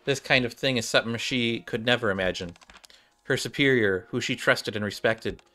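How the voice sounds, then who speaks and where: A man's voice: medium pitch, normal speed, pushed and bright. A man in his thirties streaming a game and reading its dialogue aloud in a room at home. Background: game music, keyboard typing.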